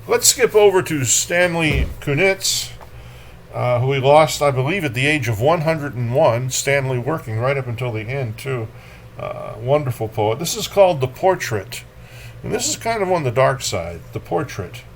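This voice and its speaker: A man hosting a poetry reading, delivering verse in a dramatic, deliberate manner with casual commentary. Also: medium pitch, lively intonation, a little hiss or hum, low-quality recording. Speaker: poetry reader and host.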